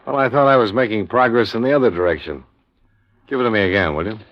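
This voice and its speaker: An American actor playing a brisk, skeptical freelance insurance investigator in a 1950s radio detective drama. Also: male voice, on the low side, a little hiss or hum, natural voice. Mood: skeptical, determined. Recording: muffled vintage radio recording, dry studio.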